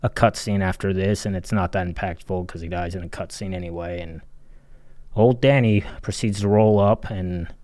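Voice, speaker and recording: A man's voice: low-pitched, steady tone, moderate pace, dark tone, no room sound. A man giving a casual, blunt and sardonic video essay critique as a game reviewer, with some swearing.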